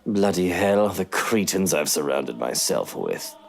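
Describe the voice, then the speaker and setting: A woman's voice: very deep voice, expressive, a little slow, vocal fry, a little mellow, pitched below the performer's own voice, full character voice. A woman voicing a haughty, irritable rich man from a visual novel, imperious and sarcastic. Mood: exasperated. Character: haughty, irritable wealthy man, employer of servants.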